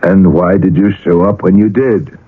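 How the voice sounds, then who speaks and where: Brooding worn-out painter, very low voice, halting phrases, 1970s radio drama, muffled lo-fi broadcast sound. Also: male voice, fairly even intonation, husky. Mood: agitated, suspicious. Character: weary, desperate male painter, middle-aged or older, brooding.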